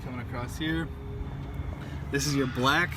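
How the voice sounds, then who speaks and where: A man giving an informal, halting how-to demonstration, thinking aloud as he goes. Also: mid-range voice, fairly even intonation. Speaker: amateur truck owner showing a DIY install. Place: outdoors at a truck. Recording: handheld outdoor recording with clear background noise.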